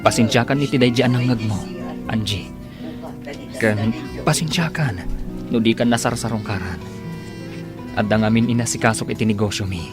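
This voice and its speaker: A man with a Filipino accent playing an apologetic, soothing gentleman suitor in a radio drama. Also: fairly low pitch, moderate pace, long pauses, over music, lightly acted. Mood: apologetic, tender.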